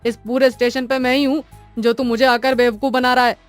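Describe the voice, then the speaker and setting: A woman voicing a bold, irritable male traveller with a brisk, challenging manner in a cartoon story. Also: high-pitched, level tone, soft background music, voice pushed up, natural voice. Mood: annoyed, dismissive.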